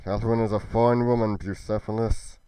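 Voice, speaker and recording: A man's voice: lowish voice, little pitch movement, a little fast, mellow, clear, resonant tone, lightly acted, rough recording. A man voicing an earnest, chivalrous fantasy warrior with formal, archaic phrasing, in an amateur roleplay drama. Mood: pensive. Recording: music under the voice.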